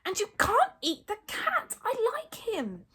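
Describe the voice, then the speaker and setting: Woman playing a young storybook Viking boy, high-pitched, anxious, earnest, exasperated. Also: sing-song, a little slow, heavily acted. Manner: earnest, flustered.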